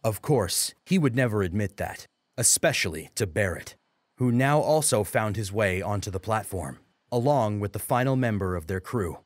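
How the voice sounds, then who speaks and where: Male audiobook narrator, deeper register, animated dramatic storytelling, dry recording. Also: moderate pace, dry recording.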